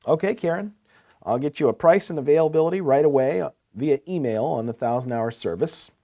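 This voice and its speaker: A man's voice: a little high, fairly quick, dark tone, lightly acted. A man playing a courteous, attentive equipment salesman in a scripted business phone call, asking probing questions. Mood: businesslike, helpful. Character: courteous, eager male heavy-equipment salesman.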